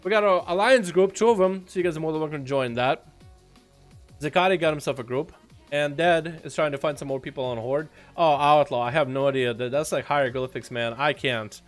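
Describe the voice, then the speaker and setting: A man's voice: on the high side, brisk, faint music behind. A young man from Russia with a slight Russian accent, streaming a game and chatting in a loose, joking way with his viewers. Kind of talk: casual livestream chat.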